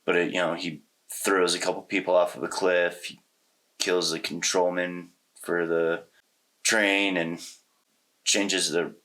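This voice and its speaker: Low voiced guy, kinda bright and punchy sound, casual podcast co-host chat, slangy. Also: level tone, measured pace, low-quality recording. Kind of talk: casual conversational movie discussion.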